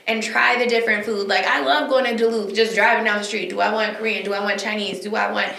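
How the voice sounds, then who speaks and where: A woman hosting a podcast, talking in an animated, emphatic way with quick asides. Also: medium pitch, gravelly. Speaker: podcast host. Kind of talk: casual chat. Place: recorded on location, indoors. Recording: echoey room.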